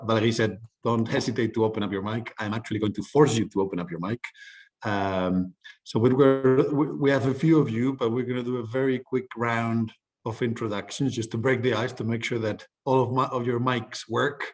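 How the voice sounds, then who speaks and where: Male course instructor, mid-range voice, direct and informal, running an online class. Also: mid-range voice.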